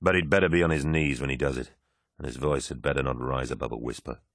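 A man voicing a furious, commanding warlord who roars and then growls low. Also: a little slow, a bit bright, no echo, studio quality, full character voice. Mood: weary, gruff.

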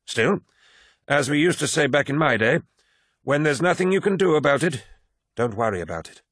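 A man voicing an old male veteran warrior who rambles and lectures in a folksy, gently patronizing way, with a husky voice. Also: on the high side, lively intonation, moderate pace, no echo, clean studio sound, voice pushed up. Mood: folksy lecturing. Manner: folksy, lecturing, calls listener boy.

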